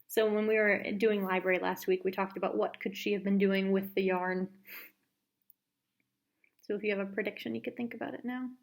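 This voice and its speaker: A woman narrating a children's story aloud, as a teacher reading to pupils. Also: medium pitch.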